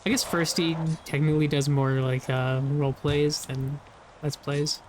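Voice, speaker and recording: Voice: mid-range voice, fairly even intonation, measured pace, darkish tone, a little hiss or hum. A young adult gamer talking loosely over gameplay, rambling, laughing often and swearing casually. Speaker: gameplay video creator.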